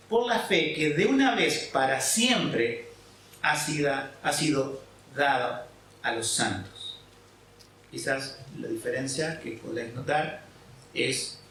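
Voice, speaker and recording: A man's voice: fairly high pitch, a bit bright, a little hiss or hum. A man from Argentina, a pastor preaching a sermon and explaining scripture, often checking in with his listeners. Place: church hall. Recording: echoey hall, mic at a distance.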